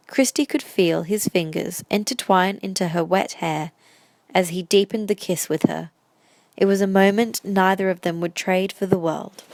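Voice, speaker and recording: A woman's voice: mid-range voice, no echo. A woman reading scripted story narration as the narrator of an audio drama.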